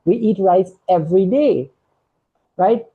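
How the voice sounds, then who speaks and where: A man's voice: high-pitched, unhurried, husky, narrow, tinny audio. A man from Guyana with a Guyanese accent, a doctor explaining diet in a casual health talk and often checking in with "right?". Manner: explanatory, tags statements with "right?". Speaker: sports medicine doctor, guest.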